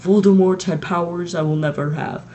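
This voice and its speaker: A reader voicing a gentle, calm old male wizard with a mildly amused manner. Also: mid-range voice, normal speed, highs cut off, slight background noise, pitched above the performer's own voice, close to an everyday voice. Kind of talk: audiobook reading.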